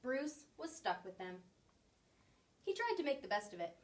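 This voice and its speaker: A woman reading a children's picture book aloud in an expressive storytelling style. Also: sits high, normal speed, recorded from a distance. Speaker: story reader.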